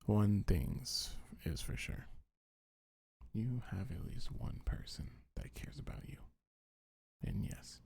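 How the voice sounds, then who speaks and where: A man with a low, mellow voice speaks softly in an ASMR style, often dropping into a whisper. His delivery is very husky and breathy, with gentle, soothing reassurance throughout. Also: very animated, slow pace, long pauses. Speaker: ASMR creator reading a comfort script. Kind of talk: ASMR affirmations, soft-spoken and half-whispered.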